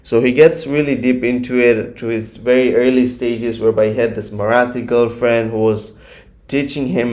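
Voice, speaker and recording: A man's voice: mid-range voice, a little mellow. Chatty male reaction host. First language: Gujarati. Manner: chatty, nitpicking, many fillers. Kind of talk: casual reaction commentary.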